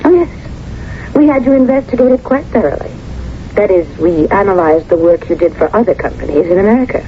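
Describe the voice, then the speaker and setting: A woman's voice: medium pitch, lively intonation, a little fast, close to an everyday voice. An actor playing a poised German businesswoman of about forty in a radio drama, formal, precise and coolly flirtatious. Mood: gracious, probing. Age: 40s. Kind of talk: 1970s radio drama acting. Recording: muffled 1970s radio recording, faint noise.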